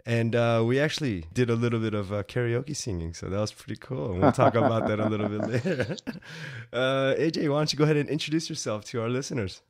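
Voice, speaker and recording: A man's voice: mid-range voice, fast, dry recording, studio quality. A young man hosting a podcast interview, upbeat and chatty, with frequent fillers. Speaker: podcast host. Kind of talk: casual interview chat.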